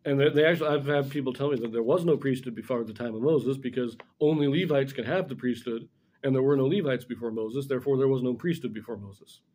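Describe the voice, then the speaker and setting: A middle-aged man giving an informal religious lesson as a scripture commentator, explaining things deliberately and correcting himself as he goes. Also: medium pitch, darkish tone. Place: small indoor room in front of a blackboard.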